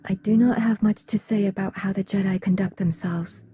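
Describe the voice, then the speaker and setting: An actress playing a solemn, reserved alien woman in a video game, speaking in a measured, formal way. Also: mid-range voice, steady tone, dark tone, slightly rough. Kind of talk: video game cutscene dialogue.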